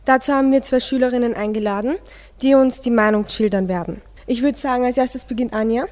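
A woman's voice: on the high side, brisk, a little mellow, long reverb tail. A teenage girl, formally moderating a student radio discussion.

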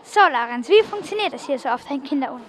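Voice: high-pitched, lively intonation, quick pace, fairly bright tone. A child reporter doing a live on-location interview, putting questions to people.

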